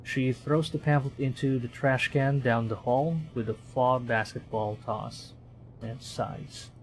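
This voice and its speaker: A man's voice: medium pitch, fairly even intonation, slow pace, slight background noise. A man from the Philippines, a streamer reading a horror visual novel aloud in a dramatic storytelling manner, with commentary.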